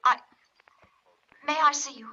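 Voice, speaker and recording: An anxious, secretive young American client with a bright, tense voice. She pleads urgently and evasively in the style of 1940s radio drama. The recording is old and muffled. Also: high-pitched, steady tone, a little slow, full character voice. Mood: nervous, hesitant.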